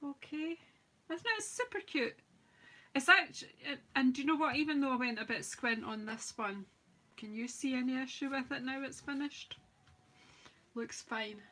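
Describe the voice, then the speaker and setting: Woman, bright voice, a bit higher, croaky, chatty craft-demo host, rambling asides. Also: normal speed. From United Kingdom. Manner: chatty, rambling, self-deprecating, talks while working. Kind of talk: live-stream craft demo chat.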